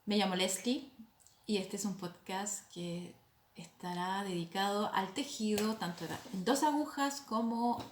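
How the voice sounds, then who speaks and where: A middle-aged Chilean woman hosting a knitting podcast, chatting casually, hesitating often and laughing softly at herself. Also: mid-range voice, a little slow, reverberant, slight background noise. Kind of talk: casual chat.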